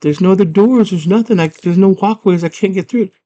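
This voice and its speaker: A man's voice: high-pitched, brisk, warm tone, lo-fi sound, voice pushed up, natural voice. A man voicing a headstrong young female heroine in a comic movie retelling, dramatic and slangy. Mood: frustrated.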